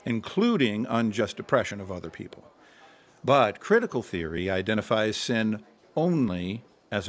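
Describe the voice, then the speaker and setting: Man acting earnest pastor, lowish voice, lively intonation, explanatory, radio drama. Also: lightly acted. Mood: teaching. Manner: measured, explanatory. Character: earnest male pastor leading a Bible study.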